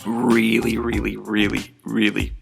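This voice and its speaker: A man's voice: on the low side, monotone, a little slow, vocal fry, soft background music. A man hosting a podcast in a casual, conversational way, rambling along with enthusiasm. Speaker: podcast host.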